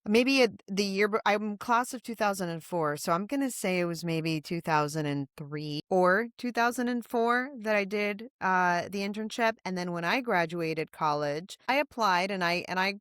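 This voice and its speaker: A woman's voice: mid-range voice, normal speed, hardly any pauses, fairly bright tone, smooth, no room sound, clean studio sound. A woman in her forties from Puerto Rico, co-hosting a podcast in a chatty, rambling way that corrects itself as she goes. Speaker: podcast co-host. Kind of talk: casual interview chat.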